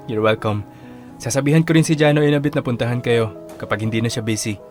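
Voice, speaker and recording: A man's voice: mid-range voice, fairly quick, a little mellow, natural voice. Actor as an easygoing, courteous young man. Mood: friendly. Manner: easygoing, polite, Taglish. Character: friendly, courteous young man working at a hotel. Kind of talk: radio drama acting. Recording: guitar music behind.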